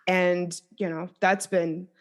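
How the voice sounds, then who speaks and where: Lower-pitched woman, coach as podcast guest. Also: level tone, slow. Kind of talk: conversational interview, explanatory.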